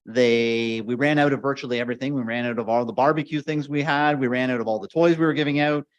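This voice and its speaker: A man's voice: medium pitch, steady tone, fast talker, no room sound, muffled recording. Earnest man giving a staff report. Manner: earnest, upbeat, repeats phrases. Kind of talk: staff report at council meeting. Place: council chamber.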